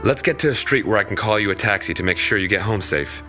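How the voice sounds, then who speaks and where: A man's voice: on the low side, fast talker, bright, forceful tone, faint music behind. An actor playing a stern, protective young man in an audio drama, terse and commanding. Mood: earnest, reassuring.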